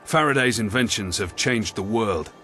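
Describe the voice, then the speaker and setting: An actor playing a grim, dutiful military commander in a video game, speaking in an earnest, formal, authoritative way. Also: male voice, fairly low pitch, lively intonation, moderate pace, sharp tone, a little hiss or hum. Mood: reflective. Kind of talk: video game cutscene acting.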